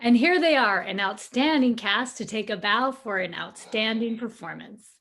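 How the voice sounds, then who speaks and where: An actress playing a gracious, cheerful radio theater hostess in an old-time announcing style. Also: female voice, a little high, moderate pace, fairly bright tone. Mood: gracious, upbeat. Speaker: announcer and host.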